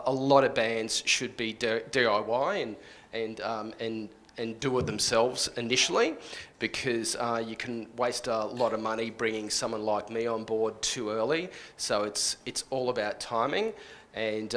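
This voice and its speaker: A man explaining his job informally on a panel, halting and often rephrasing as he goes. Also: lowish voice, a bit bright, a little hiss or hum. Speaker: radio plugger on a workshop panel.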